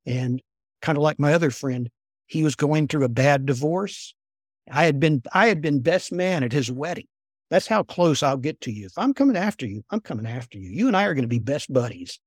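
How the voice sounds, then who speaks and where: An older man, a former intelligence officer, telling stories in a soft, unhurried voice as an interview guest. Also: a little high, no room sound, clean studio sound. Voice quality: soft-spoken. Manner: unhurried, repeats words when restarting. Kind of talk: conversational storytelling.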